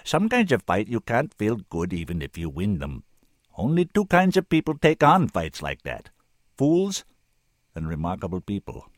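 Old senator for a story reading, performed by a man: very low, swinging voice, deliberate, weary authority with grave kindness. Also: huge pitch swings, dry recording, clean studio sound. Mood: intense. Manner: slow, deliberate, thundering when roused.

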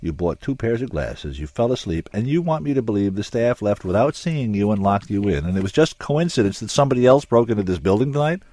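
A man's voice: lowish voice, lively intonation, fast talker, hardly any pauses, warm tone, no room sound, voice pushed up. A man voicing a skeptical, brusque male police captain in an audiobook, blunt and interrogating. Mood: disgusted. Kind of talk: audiobook character voice.

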